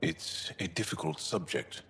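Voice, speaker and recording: An actor playing a gentle, earnest male alien resistance fighter in a video game, speaking in a measured, solemn, heartfelt way with a deep, gravelly voice. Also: big-room sound, low-quality recording. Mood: sorrowful. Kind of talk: video game cutscene acting.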